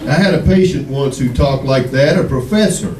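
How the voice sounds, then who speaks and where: A man's voice: mid-range voice, normal speed, a little mellow, close to an everyday voice. Man acting a sardonic radio-comedy doctor, echoey stage. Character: sardonic, needling doctor friend, full of insults. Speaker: actor in comedy radio play. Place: theater stage during live performance. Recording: echoey stage, distant mic, faint noise.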